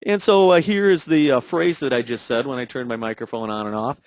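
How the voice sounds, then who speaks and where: Man, halting demo narrator, dry recording. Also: medium pitch, dry recording. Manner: halting, filler-heavy explaining. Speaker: product demonstrator. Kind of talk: unscripted software tutorial narration.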